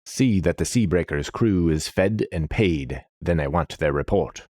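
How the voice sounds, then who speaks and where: Man voicing a rumbling, commanding old general. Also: unhurried, a little mellow, no echo, studio quality. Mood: brisk, commanding. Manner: commanding, blunt. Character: scarred grey-bearded old general, gruff and commanding.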